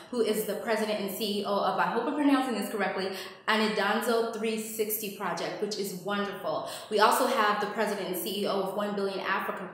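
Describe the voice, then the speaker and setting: An upbeat woman host speaks straight to her viewers, welcoming them in. Her voice rings in a bright living room with a strong echo and a long reverb tail. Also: mid-range voice. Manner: lively, welcoming, addresses viewers directly. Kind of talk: upbeat show introduction. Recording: echoey room.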